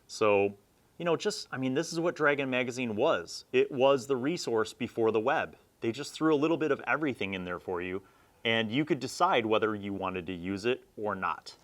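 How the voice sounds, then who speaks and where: A man's voice: medium pitch, lively intonation, moderate pace, fairly bright tone. A middle-aged man talking casually and conversationally as the host of a review video, rambling a little as he comments. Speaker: host of a video series reviewing old roleplaying magazines.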